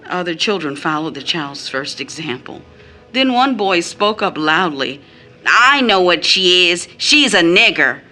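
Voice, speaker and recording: An actress playing a bitter, wounded mother in an audio drama, phrasing deliberately as she recounts her child's mistreatment. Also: on the low side, sing-song, pushed and bright, soft background music, full character voice. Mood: indignant, anguished. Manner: deliberate phrasing, quotes others in mimicked tones.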